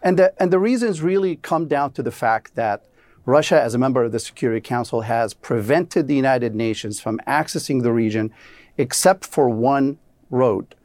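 A man from Syria answering as a policy expert guest in a news interview, explaining earnestly and gravely. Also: mid-range voice, moderate pace, darkish tone, no room sound.

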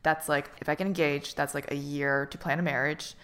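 A woman's voice: deep voice, fairly even intonation, a little fast, fairly bright tone. A woman in her thirties in a casual chat with friends, chatty and teasing. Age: 30s. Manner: chatty, teasing, laughs easily.

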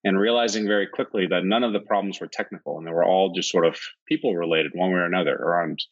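Man from Iceland, very low voice, steady and thoughtful, muffled lo-fi sound. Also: fast talker. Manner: steady, thoughtful, lists ideas in runs. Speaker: guest, tech founder. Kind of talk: candid interview answers.

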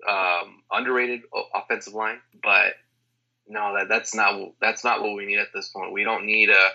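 A man guest on a sports podcast, talking in an animated way with lots of restarts over an internet call. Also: fairly low pitch, moderate pace, vocal fry, muffled recording. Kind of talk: casual sports opinion chat.

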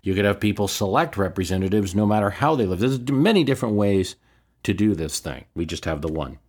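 Low-pitched American man, podcast commentary. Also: lively intonation, brisk, a little mellow. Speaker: history and politics podcast host. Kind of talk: explanatory solo podcast commentary, answering listener questions.

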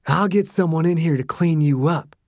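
A man's voice: fairly high pitch, moderate pace, darkish tone, no echo, clean studio sound. A man voicing a brutal, menacing man who threatens and snarls at a younger man. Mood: cold.

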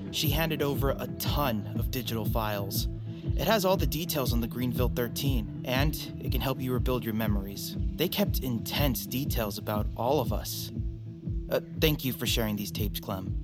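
Actor as earnest young group leader, mid-pitched male, conversational drama read over loud guitar music. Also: normal speed, close to an everyday voice. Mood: earnest, measured. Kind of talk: conversational audio fiction acting.

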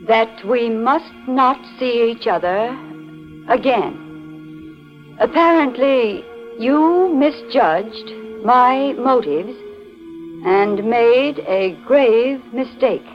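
A haughty, elegant society woman in a 1940s radio thriller. Her high voice swings widely and theatrically, with deliberate phrasing, on a dull old recording. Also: expressive. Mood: cold, composed. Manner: deliberate, dramatic delivery. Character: beautiful wealthy society woman, haughty, then terrified. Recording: dull vintage radio recording, music behind.

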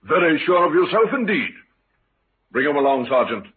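An actor playing a cool, firm police inspector, measured and dry, in vintage radio drama style. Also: male voice, a little high, big pitch swings. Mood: firm, assured. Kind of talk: 1940s-50s radio acting. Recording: tinny old radio with faint noise.

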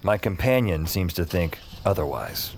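A man's voice: sits low, animated, normal speed. A man playing a cocky, restless, wisecracking novice spellcaster who narrates his own audio drama in a wry, conversational way. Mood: eager, puzzled. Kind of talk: first-person audio drama narration and acting. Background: music.

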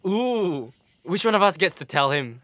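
Bright, high-voiced, sardonic bandit. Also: male voice, big pitch swings, a little slow, dry recording, heavily acted. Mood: smug, mocking. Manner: sarcastic, irreverent, colloquial. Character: sardonic, insolent slave bandit guardsman. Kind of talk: audio drama acting.

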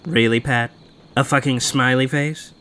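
A man's voice: medium pitch, fairly even intonation, unhurried, sharp tone, slight background noise. An actor playing a cocky, foul-mouthed man who tells spooky local legends in a teasing, theatrical way. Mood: storytelling. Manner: teasing, theatrical, casually profane. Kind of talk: naturalistic horror audio drama acting.

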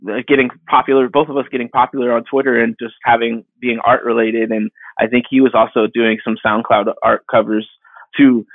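Gravelly man in his thirties on a tinny line. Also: medium pitch, flat delivery, no room sound. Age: 30s. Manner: rambling, halting, with many fillers and restarts. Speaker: digital artist guest. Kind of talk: casual interview answers. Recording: dry tinny phone-like line.

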